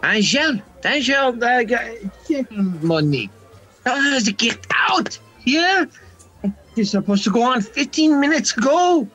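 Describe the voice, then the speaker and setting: An actor playing a fussy French nightclub manager in an amateur radio play, stammering and exasperated. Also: male voice, extremely high pitch, animated, slow, sharp tone, faint music behind, exaggerated voice, low-quality recording.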